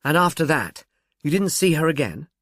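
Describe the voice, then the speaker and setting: A man voicing a brisk, businesslike police inspector in an audiobook, with a dispassionate, official, probing manner. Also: a little high, sing-song, fairly bright tone, no room sound, studio quality, voice pushed up, exaggerated voice. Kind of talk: audiobook character dialogue.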